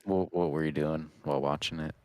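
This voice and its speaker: Very deep-voiced man in casual chat. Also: steady tone, fairly quick, a little mellow, no room sound, clean studio sound. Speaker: podcast co-host.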